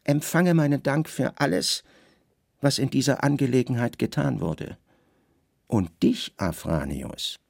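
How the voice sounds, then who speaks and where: A man's voice: mid-range voice, lively intonation, unhurried, gravelly, darkish tone, no room sound, clean studio sound. A man voicing a stern, commanding Roman governor in a radio drama, terse, imperious and troubled. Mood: solemn.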